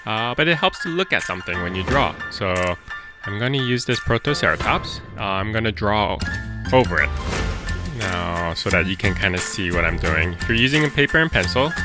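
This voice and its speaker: A storyteller and drawing teacher talking to children in an informal way, often thinking aloud. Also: sits low.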